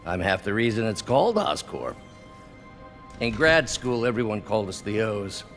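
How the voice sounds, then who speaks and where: An actor playing a weary, embittered middle-aged scientist in a naturalistic video game cutscene. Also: male voice, fairly low pitch, big pitch swings, normal speed, fairly bright tone. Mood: wistful, bitter. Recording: music behind the voice.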